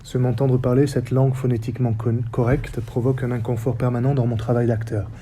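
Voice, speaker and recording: A man in his forties from the French Basque Country, with a faint Basque accent, giving a reflective public talk as a stage director in measured, short phrases. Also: mid-range voice, little pitch movement, dark tone, slight background noise. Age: 40s. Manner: measured, list-like delivery with short paused phrases. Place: theatre stage or hall.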